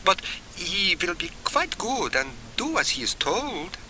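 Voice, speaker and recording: Guy doing a middle aged foreign lady for an audiobook, shy but eager n coaxing, high pitched, bit of tape noise. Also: big pitch swings, measured pace, sharp tone, heavily acted. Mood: reassuring. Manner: hesitant, coaxing, foreign-phrased speech. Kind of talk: audiobook character voice. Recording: noisy old cassette transfer.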